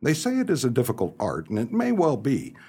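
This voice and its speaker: A man playing an exasperated, wry small-town husband in a stagey comedic radio play, animated and sardonic. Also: medium pitch, a little fast, slightly rough, heavily acted. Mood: amused, mocking.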